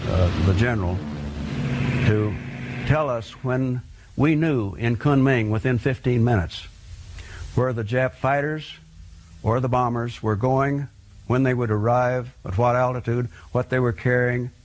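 Older male veteran recalling, mid-pitched. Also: unhurried, darkish tone. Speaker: veteran giving recollections. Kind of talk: interview recollection.

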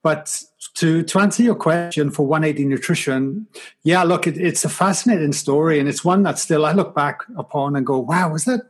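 A man's voice: on the high side, moderate pace, husky. A man in his forties from Wales with a mild Welsh accent, a guest speaking reflectively in a casual interview. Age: 40s. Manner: reflective, self-deprecating, many restarts.

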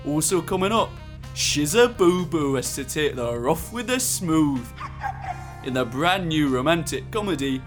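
Bombastic network promo announcer, sci-fi audio drama. Higher male voice, guitar music behind. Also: animated, a little slow. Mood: slick, upbeat. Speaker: actor as TV continuity announcer. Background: plucked guitar music.

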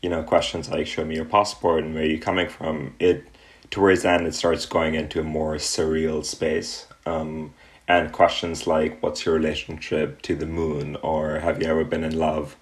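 A young man from Pakistan, an artist being interviewed, who answers thoughtfully with frequent ums and pauses. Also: very deep voice, little pitch movement. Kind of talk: relaxed reflective interview answers.

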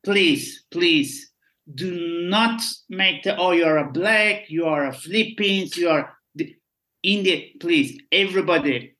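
A man's voice: sits high, unhurried, bright, forceful tone. Earnest man with a Turkish accent. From Turkey. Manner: broken English, earnest and pleading. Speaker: guest, former cruise ship staff. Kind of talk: casual interview chat.

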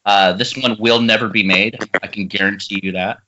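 A man's voice: lowish voice, steady tone, normal speed, sharp tone. A man casually introducing a script in informal, occasionally profane chat. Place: at home on a group internet call. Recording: muffled internet call.

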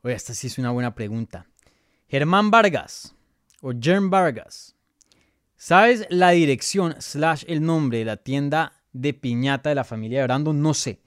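Casting: man in his thirties, Colombian-born, mid-pitched, chatty live Q&A host, dry recording. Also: dry recording. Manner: chatty, many fillers and restarts. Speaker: MMA journalist and show host. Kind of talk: live casual Q&A reading viewer questions.

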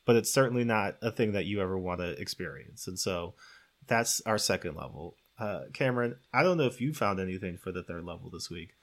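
A man's voice: deep voice, lively intonation, a little fast. A man co-hosting a podcast in a casual, conversational, joking style. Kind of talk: casual comedic podcast chat.

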